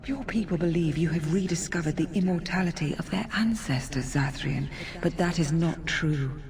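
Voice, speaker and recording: A woman voicing a serene, merciful forest spirit in a fantasy video game, solemn and measured. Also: deep voice. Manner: solemn, measured, pleading gently.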